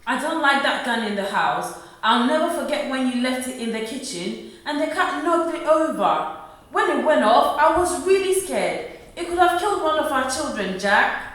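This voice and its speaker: A woman's voice: high-pitched, lively intonation, normal speed, fairly bright tone, far from the mic. An actress playing a long-suffering working-class wife and mother in a radio play, earnest, worried and reproachful. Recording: very echoey room, slightly off mic.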